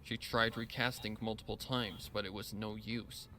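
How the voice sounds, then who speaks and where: A man's voice: on the low side, level tone, normal speed, bright, forceful tone. A man reading a scripted story as narrator, with a solemn, dramatic delivery. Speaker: narrator reading fan fiction.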